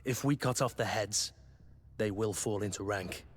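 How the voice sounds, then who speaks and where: An actor playing a loyal but cynical mercenary soldier in a fantasy game, speaking bluntly and with command. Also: male voice, fairly low pitch, moderate pace, lightly acted. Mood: earnest, urgent. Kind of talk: dramatic fantasy game acting.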